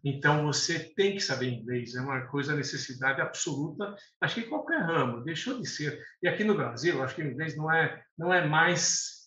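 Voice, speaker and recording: A man's voice: a little high, animated, a little fast, hardly any pauses, pushed and bright. A man in his sixties, born in Lebanon with Arabic as his first language, talking unhurriedly as an interview guest, with fillers and restarts. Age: 60s. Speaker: guest, eye doctor. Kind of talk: conversational interview. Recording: echoey room, slightly dull sound, faint noise.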